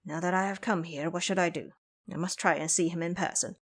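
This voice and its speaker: A woman's voice: fairly low pitch, steady tone, brisk, voice pushed down. Woman playing a sulky, bumbling male pig monster. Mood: envious musing. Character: foolish, whining, pouty male pig monster disciple. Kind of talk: audio drama acting.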